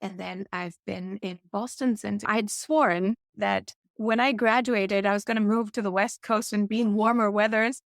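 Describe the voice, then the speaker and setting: Dry, gravelly-voiced woman guest. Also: mid-range voice, steady tone, moderate pace, hardly any pauses, no echo. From India. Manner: warm, reflective storytelling with occasional laughs. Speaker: guest, author and product leader. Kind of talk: casual interview answers.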